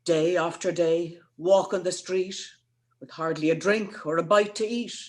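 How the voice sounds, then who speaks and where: A woman playing an indignant, sharp-tongued young woman in a theatrical, scornful dramatic reading of comic verse. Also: lowish voice, fairly even intonation, a little slow, close to an everyday voice. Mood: scornful, threatening. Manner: scornful, theatrical, emphatic delivery.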